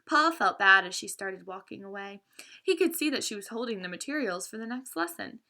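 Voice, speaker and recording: A woman's voice: mid-range voice, fairly quick. A woman reading a children's story aloud expressively, now and then stumbling and correcting herself. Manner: animated, with stumbles and self-corrections.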